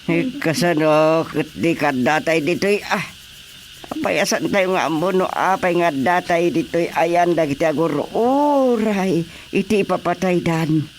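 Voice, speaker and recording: A man with a Filipino accent playing a fretful, excitable character in a radio comedy drama, halting, repeating himself and exclaiming. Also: fairly high pitch, a little hiss or hum, natural voice. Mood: urgent.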